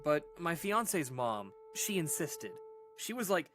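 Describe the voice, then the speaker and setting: A man's voice: a little high, sing-song, over music. Shaken man telling a haunting story. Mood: tense, suspenseful. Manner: halting, earnest, casual, with dramatic pauses. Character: shaken adult man recounting a haunting childhood memory. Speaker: caller telling a paranormal story on a radio show. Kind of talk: conversational scary storytelling, audio drama monologue.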